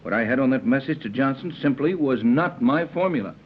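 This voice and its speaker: A man playing a resolute captain and scientist in an old-time radio serial, measured and authoritative. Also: mid-range voice, expressive, breathy edge. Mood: confident, measured. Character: steady, resolute captain and chemist, grown man. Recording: muffled, noisy vintage radio recording with hum.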